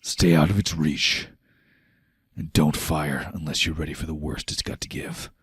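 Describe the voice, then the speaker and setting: A man's voice: very deep voice, steady tone, normal speed, husky, no echo, clean studio sound, voice pushed down, exaggerated voice. A man voicing a grim, seasoned barbarian hunt leader in a tabletop game, speaking in terse commands. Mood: grave warning.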